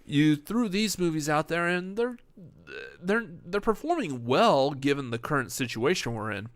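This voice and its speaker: Man with a loud, smooth radio voice. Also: a little high, animated, normal speed, dry recording. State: tired. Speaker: podcast co-host. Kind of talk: casual chat on a live stream.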